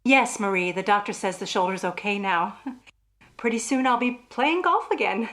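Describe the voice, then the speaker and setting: A woman's voice: mid-range voice, expressive, moderate pace, a bit bright, natural voice. A woman playing an anxious married wife in a radio thriller, speaking earnestly and dramatically, as if fearing for her life. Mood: relieved. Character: anxious married woman convinced her husband plans murder.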